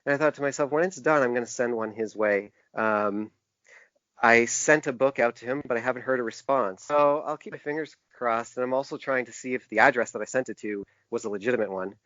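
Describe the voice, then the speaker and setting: Podcast guest: middle-aged man, mid-pitched, hesitant and reflective, conversational, dry close sound. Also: fairly bright tone, no room sound, dull audio. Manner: hesitant, halting, self-reflective. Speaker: podcast guest, author and small publisher. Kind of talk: casual interview conversation.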